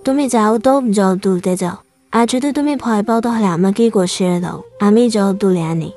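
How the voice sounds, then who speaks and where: Woman voicing a bold, mellow-toned sceptical youngster. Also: mid-range voice, fairly quick, natural voice. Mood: dismissive, bold. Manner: dismissive, confident. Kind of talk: cartoon voice acting.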